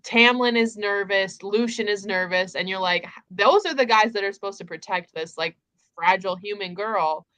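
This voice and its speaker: A woman's voice: medium pitch, normal speed, fairly bright tone, clear, resonant tone, no echo. A woman hosting a casual book discussion, retelling in an emphatic, conversational way peppered with filler words.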